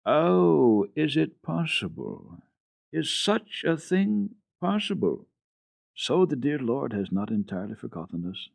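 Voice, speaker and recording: A man's voice: medium pitch, very animated, unhurried, vocal fry, dry recording, voice pushed up, full character voice. A man voicing a frail old blind grandmother, exclaiming and trembling with anxiety and joy in a storybook reading. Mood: amazed relief. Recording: dull archival radio tape.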